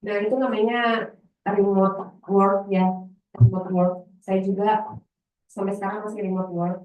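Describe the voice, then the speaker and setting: A chatty woman with a Javanese lilt teaches a casual workshop, rambling a little and pausing to find her words. She sounds set back from the microphone in a roomy, echoing space. The sound is a bit dull. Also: medium pitch, steady tone, recorded from a distance. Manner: chatty, loose, laughs easily, trails off searching for words. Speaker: voice-over trainer. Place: library workshop room.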